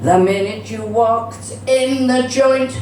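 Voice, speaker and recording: A woman's voice: on the high side, slow pace, warm tone. A middle-aged woman with a Cardiff Welsh accent performing live stand-up comedy in a chatty, bawdy manner, swearing freely. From Cardiff, Wales. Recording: roomy, distant, noisy live venue recording.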